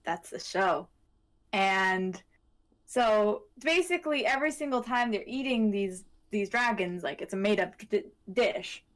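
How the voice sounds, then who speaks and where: A woman's voice: medium pitch, animated, unhurried. A woman in casual podcast chat, co-hosting with a hesitant, meandering, giggly way of talking. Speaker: podcast co-host.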